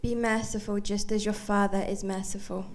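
A woman interpreting a sermon phrase by phrase, with fillers and quick self-corrections. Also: medium pitch, flat delivery. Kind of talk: live consecutive interpreting of a sermon.

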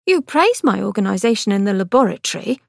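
A woman's voice: medium pitch, big pitch swings, fast talker, creaky, a little mellow, dry recording, clean studio sound. A woman voicing a prim, determined young woman in her twenties, earnest and easily indignant.

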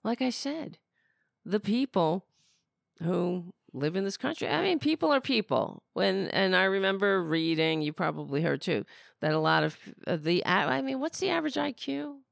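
A woman's voice: medium pitch, expressive, moderate pace, creaky, dry recording, studio quality. A middle-aged woman hosting a podcast, losing her voice, talking in a rambling, sardonic, blunt way. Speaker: podcast host. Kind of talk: casual political commentary.

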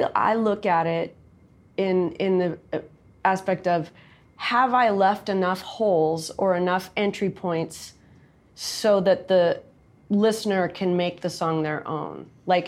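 A woman with a nasal, loud voice speaks in a casual, candid interview. Her talk is chatty and quick, full of asides. She sits a bit low in pitch for a woman. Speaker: songwriter and recording artist guest.

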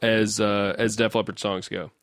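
A man's voice: fairly low pitch, level tone, normal speed, fairly bright tone, no echo, studio quality. A middle-aged man co-hosting a podcast, chatting with nostalgic enthusiasm about music. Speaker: podcast co-host. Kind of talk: casual chat.

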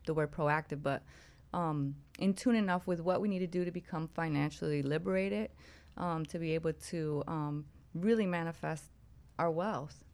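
A woman's voice: deep voice, moderate pace, darkish tone. A woman co-hosting a talk radio show in a casual, conversational style.

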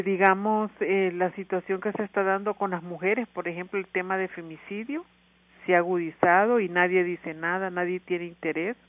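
A woman's voice: lowish voice, dry recording. A woman with a Honduran accent, speaking earnestly as an interview guest over the phone, with frequent hesitations. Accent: Honduran Spanish. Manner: earnest, steady, many hesitations. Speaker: NGO worker, interview guest. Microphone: telephone. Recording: tinny dry phone line.

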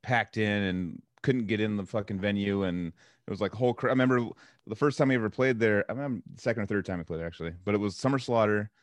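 A man with a somewhat low voice and a dry, close sound, telling stories with lots of casual swearing. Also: fast talker, dry recording. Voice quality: profane, animated storyteller. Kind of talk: casual chat.

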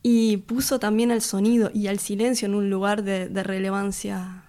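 A woman answers interview questions about her music. She speaks thoughtfully and hesitantly, often pausing on fillers and restarting her sentences, and laughs easily between thoughts. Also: medium pitch, flat delivery, mellow. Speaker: musician and record producer. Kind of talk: casual interview conversation.

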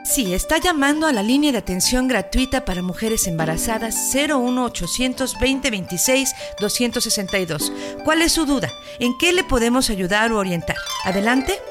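An actress in a radio drama playing a polite, helpful hotline operator. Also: female voice, a little high, animated, normal speed, natural voice. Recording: distant voice with music.

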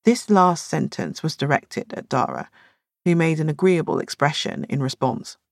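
A woman reads a novel aloud in an expressive, scripted style. Her voice is fairly low and very varied in pitch, recorded dry with no room sound. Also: moderate pace, vocal fry, no room sound. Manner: expressive storytelling. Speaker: audiobook narrator.

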